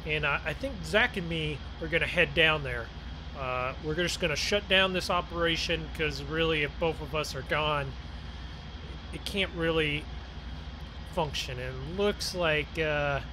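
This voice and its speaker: Vehicle noise runs clearly behind a man with a somewhat high-pitched voice. He hosts a farming game video as casual roleplay. His delivery is chatty and folksy, as if talking viewers through the work. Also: a little slow. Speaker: gaming video host. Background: game vehicle engine sounds.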